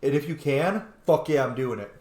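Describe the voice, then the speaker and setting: A man's voice: medium pitch, moderate pace, a little mellow. A man doing casual gameplay commentary as a streamer, chatty and playful, often correcting himself. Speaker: video game streamer. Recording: echoey room.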